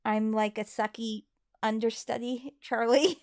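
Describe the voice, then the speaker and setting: A woman's voice: on the high side, fairly even intonation, a little slow, darkish tone. A woman co-hosting a casual livestream and reading viewer messages in a self-deprecating, hesitant way. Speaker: livestream co-host and hobby voice actor.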